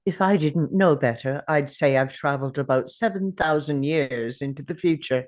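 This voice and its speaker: An ancient time traveller, played by a woman at a script table read, pitched low. The character sounds worn by ages yet stays defiant, as in a television science fiction drama. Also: normal speed, a little mellow, close to an everyday voice. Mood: musing.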